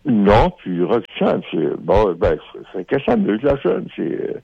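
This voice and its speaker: A man's voice: fairly low pitch, very animated, measured pace, vocal fry, husky, no echo. A man in his thirties with a Québécois French accent, calling in to a radio show and rambling hesitantly with many fillers. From Quebec. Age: 30s. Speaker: radio caller. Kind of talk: casual phone-in chat. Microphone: telephone handset. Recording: dull muffled phone line.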